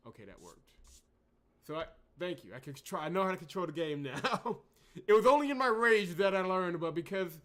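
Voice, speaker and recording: A man's voice: high voice, expressive, normal speed, fairly bright tone. Exasperated man doing game commentary. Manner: exasperated, emphatic, swings from outbursts to muttering. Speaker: gaming channel host. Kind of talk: unscripted let's play commentary.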